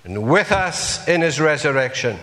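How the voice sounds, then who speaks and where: A man's voice: a little high, expressive, moderate pace, sharp tone, big-room sound. A man preaching a sermon, earnestly putting rhetorical questions to his congregation.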